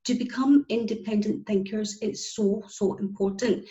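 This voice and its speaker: An upbeat Scottish woman speaks in a casual interview, leaning hard on her key words and repeating them for effect. Her voice sits at an ordinary middle pitch. The sound is dull and lo-fi, like remote call audio. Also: steady tone, moderate pace, warm tone. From Scotland. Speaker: guest, business connector.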